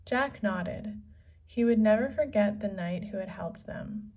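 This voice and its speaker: A woman reading a children's story aloud as narrator, with measured, deliberate phrasing. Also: medium pitch, darkish tone. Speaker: storybook reader. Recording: slightly distant from the microphone.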